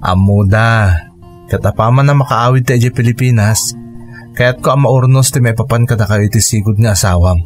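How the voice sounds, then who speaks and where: A man's voice: mid-range voice, normal speed, soft background music, natural voice. A man playing a kind, devoted suitor. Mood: gentle. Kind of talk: radio drama acting.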